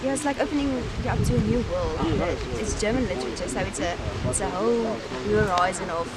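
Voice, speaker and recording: A young South African woman with an Afrikaans-speaking background and a South African accent, talking in a relaxed, thoughtful way with frequent pauses and restarts while she is interviewed outdoors on a campus. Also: mid-range voice, over a noisy crowd. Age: young adult. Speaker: university student. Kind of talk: casual interview. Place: outdoors in a sunny campus garden.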